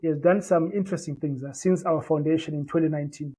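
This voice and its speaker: A man's voice: fairly high pitch, steady tone, fast talker, mellow, breathy edge. A man from Zimbabwe whose first language is Shona, a company founder talking earnestly in an interview, with many fillers and repeated words. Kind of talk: interview, conversational business pitch.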